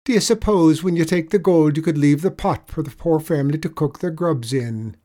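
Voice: mid-range voice, normal speed, mellow, pitched above the performer's own voice, close to an everyday voice. A performer voicing a wily little Irish leprechaun man in a storybook tale, coaxing with a mournful lilt. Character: wily little leprechaun man spinning pitiful sob stories.

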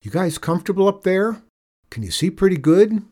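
Cocky Western storybook kid, played by adult male narrator: raised pitch, bold, folksy, always daring someone. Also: very animated, normal speed, mellow. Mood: sly, friendly. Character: plucky, cocky small boy in the Old West.

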